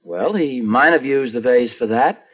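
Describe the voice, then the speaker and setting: A man's voice: mid-range voice, lively intonation, a little slow, slightly rough. A man playing a mild, wisecracking husband in a 1940s radio sitcom, hedging and joking dryly. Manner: wry, mild, hedging, dry jokes. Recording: lo-fi old radio recording.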